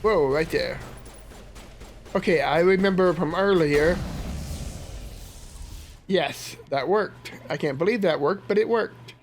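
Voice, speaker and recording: Man, let's play host, sniffly from a cold, fairly high voice, chatty squad orders, game music. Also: slow pace, pauses often. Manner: chatty, talks to his squad and viewers. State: stuffy from a cold. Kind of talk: casual gameplay commentary.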